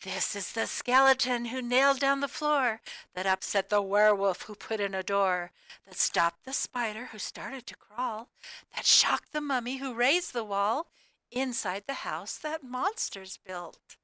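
Bright, clear woman reading a children's storybook. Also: on the high side, measured pace, dry recording. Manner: expressive, sing-song cumulative rhyme delivery with emphatic key words. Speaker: storybook reader. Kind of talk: animated children's read-aloud of a rhyming picture book.

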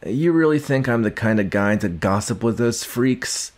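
A young man playing a gruff, guarded but secretly caring villain in an ASMR roleplay, speaking haltingly with sighs and grudging tenderness. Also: mid-range voice, lively intonation, natural voice. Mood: gruff teasing. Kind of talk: ASMR roleplay addressed to the listener.

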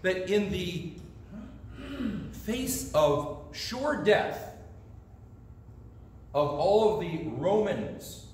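A man's voice: high voice, expressive, extremely slow, pauses often, darkish tone, a little hiss or hum. A middle-aged man preaching a storytelling sermon in a church sanctuary, gesturing with both hands as he speaks. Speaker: pastor. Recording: distant echoey sanctuary sound.

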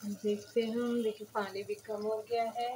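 A woman's voice: medium pitch, level tone, moderate pace, background noise. A woman hosting a cooking video, giving plain step-by-step recipe instructions to the viewer. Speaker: cooking channel host.

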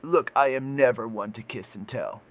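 A man's voice: medium pitch, normal speed, close to an everyday voice. A man playing an awkward but boastful monster puppet in a comedy. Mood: smug.

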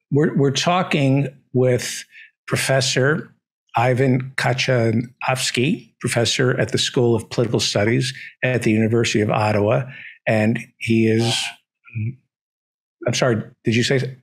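Male podcast host, 60s, American, average pitch, deliberate and conversational. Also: steady tone. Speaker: host, interviewer. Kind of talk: conversational interviewing. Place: home living room, indoors. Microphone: podcast mic on boom arm, close.

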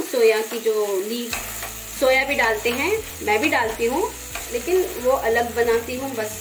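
Home cook, woman, fairly high voice, easy instructive delivery; roomy kitchen with frying sizzle. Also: noisy background. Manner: gentle, instructive, conversational. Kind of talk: cooking demonstration voice-over. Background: sizzling frying pan.

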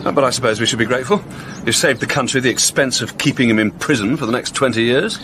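Brash English barrister played by an actor, radio drama: fast, sardonic, over clear outdoor noise. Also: male voice, mid-range voice, lightly acted. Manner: sarcastic, breezy.

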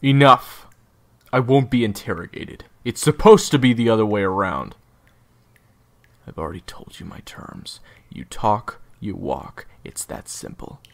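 A man playing a cold, short-tempered assassin interrogator in an audio drama, husky, with clipped, deliberate threats. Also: fairly low pitch. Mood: angry. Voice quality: husky, gravelly. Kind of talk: audio roleplay acting.

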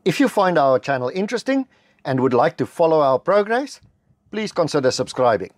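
A middle-aged South African man with an Afrikaans background, narrating a homestead building vlog in a measured, matter-of-fact voice-over. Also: on the high side, very animated, no echo, studio quality. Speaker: homestead vlogger. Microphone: close voice-over mic.

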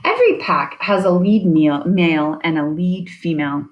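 A woman reading a children's nonfiction picture book aloud in a deliberate way, with chatty asides. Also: fairly low pitch, dull audio. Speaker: reader of children's science book.

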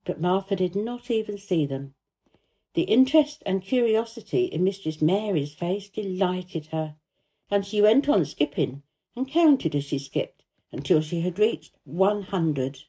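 A woman narrating a children's story as an expressive, scripted reading. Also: fairly low pitch, a little slow, slightly rough. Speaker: storybook reader, actor.

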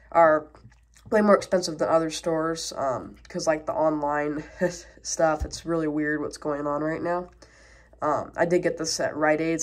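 A woman with a stuffed-up cold casually reviewing a toy, rambling with many fillers, recorded at a tabletop on a camera mic. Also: deep voice. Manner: rambling, many fillers, talking while handling toys.

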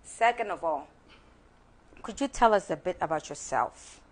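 A young Ghanaian woman hosting a studio talk show, lively and encouraging, mixing Twi with English, on a lapel mic. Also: fairly low pitch, normal speed.

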